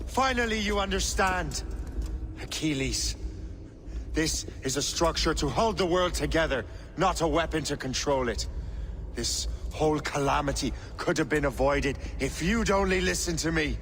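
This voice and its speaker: An actor playing a defiant, blunt sea captain turned assassin hunter in a game cutscene. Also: male voice, a little high, expressive, normal speed, a bit bright. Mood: bitter. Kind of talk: dramatic game cutscene acting. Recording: clear vehicle and outdoor noise behind.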